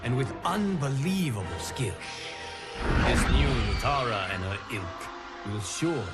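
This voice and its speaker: A man playing a solemn godlike guardian of timelines, narrating video game cutscenes in a formal, measured, dramatic way. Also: mid-range voice, expressive, close to an everyday voice. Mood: grave, urgent. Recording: loud cinematic music behind. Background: loud music.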